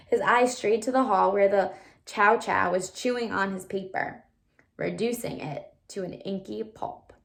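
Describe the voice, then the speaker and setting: A woman reading a children's novel aloud like a teacher, with animated storytelling and asides to explain or wonder. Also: medium pitch. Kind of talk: expressive children's book read-aloud.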